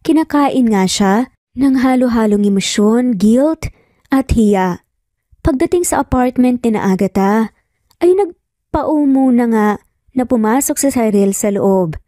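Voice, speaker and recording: A woman's voice: a little high, lively intonation, unhurried, pauses often, mellow, no room sound, studio quality. A Filipino woman reading a scripted romance story as a dramatic narrator.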